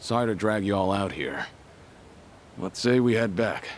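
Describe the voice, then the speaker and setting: An actor playing a grave but gentle aging yakuza legend in a game cutscene, low and gravelly, speaking with measured restraint. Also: male voice, expressive, slight background noise, lightly acted. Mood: calm, warm. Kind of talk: video game cutscene acting.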